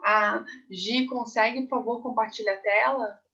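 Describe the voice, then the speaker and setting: Casual: patient woman doc talks it through, steady flat delivery, dull distant call sound. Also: fairly high pitch, normal speed. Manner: patient, explanatory, many "né"s. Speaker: gynecologist. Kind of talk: explaining on a video call. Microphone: video call. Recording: muffled distant video call audio.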